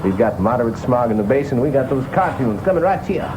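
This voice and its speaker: A man's voice: mid-range voice, expressive, fast, noisy background. A man working as a 1960s top-40 radio disc jockey, talking in playful, hip showman patter. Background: music.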